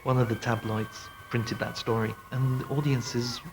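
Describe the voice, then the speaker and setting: A man's voice: medium pitch, flat delivery, unhurried, noisy background. A man telling gossipy anecdotes emphatically in an interview. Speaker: interviewee, pantomime booker. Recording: VHS transfer with faint music.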